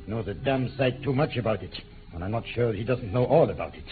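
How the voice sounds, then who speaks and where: An actor playing an irritable, arrogant, scheming count, curt and domineering, in a 1950s radio drama. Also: male voice, medium pitch, lively intonation, fast, phone-quality sound, close to an everyday voice. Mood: grim, calculating. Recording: thin 1950s radio recording, music behind.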